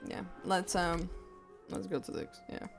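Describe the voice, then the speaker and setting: A woman livestreamer reacting casually to a game, playful and excitable, often repeating her phrases. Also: on the low side, unhurried, gravelly. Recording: game music behind the voice.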